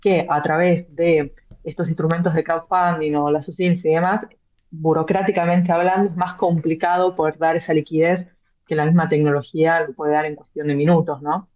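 A woman's voice: low-pitched, flat delivery, moderate pace. A woman, an author answering interview questions, often hesitating with fillers and repeated words. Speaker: author and blockchain real estate guest. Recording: dull lo-fi sound.

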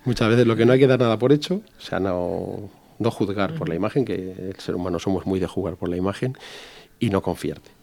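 Deep gravelly man explaining security. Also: a little fast, a little mellow. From Barcelona, Spain. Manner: explanatory, repeats key phrases, rhetorical tags. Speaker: security company executive. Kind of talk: conversational interview answers.

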